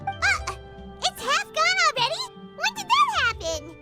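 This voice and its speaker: A woman voicing a small, childlike, chirpy food-loving companion in an animated fantasy game. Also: very high-pitched, measured pace, full character voice. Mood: indignant. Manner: refers to self in third person, exclamatory. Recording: music under the voice.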